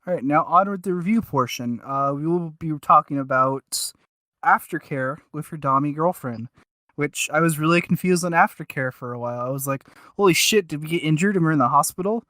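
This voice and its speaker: Male podcast co-host, slightly high and forceful, casual and self-mocking. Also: brisk, no echo.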